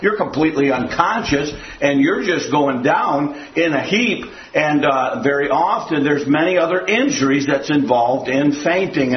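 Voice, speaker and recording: An older man gives a rambling, folksy sermon, circling back on his points and telling homely jokes. His voice rings through a roomy church and comes over a dull, muffled recording. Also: a little high. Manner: rambling, folksy, repeats "again". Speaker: preacher. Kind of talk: sermon with audience questions.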